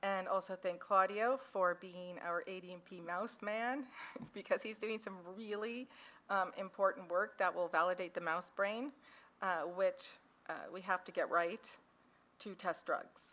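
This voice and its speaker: A woman's voice: mid-range voice, steady tone, measured pace, a bit bright. A woman speaking at a conference as a foundation founder and parent, chatty and self-deprecating, into a microphone in a conference hall. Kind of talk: informal conference talk. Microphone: handheld or podium microphone.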